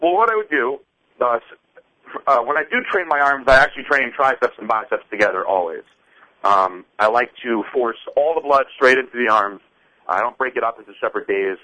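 30 yo guy explaining his workouts real relaxed, voice pretty bright and forward. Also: mid-range voice, brisk, rough recording. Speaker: fitness guest. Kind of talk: casual interview answers.

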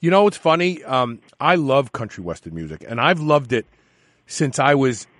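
A man from New York hosting a radio interview, asking halting questions full of restarts. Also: medium pitch, animated, fairly bright tone, no room sound. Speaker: radio host. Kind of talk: casual interview.

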